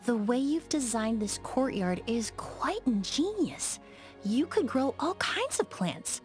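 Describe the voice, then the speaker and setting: Poised, high-voiced young perfumer. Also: female voice, big pitch swings, soft background music. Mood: thoughtful, precise. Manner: measured, articulate, politely blunt. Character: poised, precise, polite young woman perfumer. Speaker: game character voice actor. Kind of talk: scripted video game dialogue.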